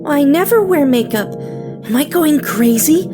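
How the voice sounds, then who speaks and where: A woman playing a frightened, bewildered woman who doubts her own sanity, in a slow, dramatic audio drama delivery. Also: high voice, expressive, over music. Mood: bewildered, alarmed.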